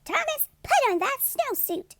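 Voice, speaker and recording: Exasperated female schoolteacher, acted by a storybook reader, a woman: emphatic, bossy, high-pitched, dry recording. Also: big pitch swings, unhurried, fairly bright tone, no room sound, heavily acted. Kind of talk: children's storybook read-aloud.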